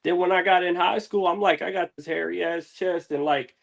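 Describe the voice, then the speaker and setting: A man's voice: high-pitched, steady tone, normal speed, creaky, a bit bright. A man chatting casually as an interview guest, laid-back, slangy and rambling. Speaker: interview guest, music artist. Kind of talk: casual chat.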